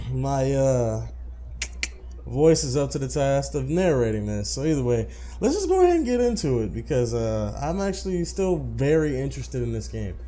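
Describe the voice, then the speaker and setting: A man's voice: mid-range voice, very animated, normal speed, dark tone. A man casually commentating and reading a visual novel's text aloud as a let's player.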